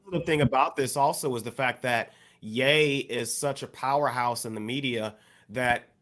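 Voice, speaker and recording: A male podcast co-host with a medium-pitched voice talks in a casual discussion. He is measured and halting, hedging as he works toward his opinion. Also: a bit bright.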